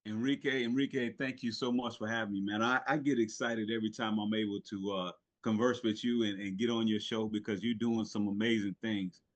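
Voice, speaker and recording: A man's voice: lowish voice, fairly even intonation, brisk, hardly any pauses. A middle-aged man, a retired Navy chief, talking earnestly as a podcast guest, with many fillers and restarts. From South Carolina, raised in Italy. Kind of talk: casual podcast interview.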